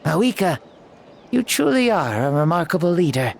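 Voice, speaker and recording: A man's voice: a little high, big pitch swings, moderate pace, vocal fry, slight background noise. An actor playing an ancient hero reborn in a boy's body, a playful and boastful old soul with a jaunty, theatrical delivery in a video game. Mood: solemn, admiring. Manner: jaunty, self-mocking, theatrical. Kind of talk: scripted video game story dialogue.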